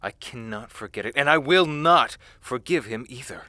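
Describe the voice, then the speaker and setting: A man playing a bitter, protective young prince scarred by war, in an audio drama, speaking with deliberate intensity. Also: mid-range voice, lively intonation, sharp tone, dry recording. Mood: indignant, bitter. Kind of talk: audio roleplay drama acting.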